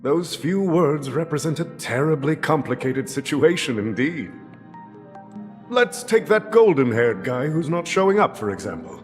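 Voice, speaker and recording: An actor playing a smooth-talking, scheming older tycoon in a video game, florid and unctuous as he plays host. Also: a little high, sing-song. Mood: sly, insinuating. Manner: florid, unctuous, slow and deliberate. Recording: long hall reverb, faint music.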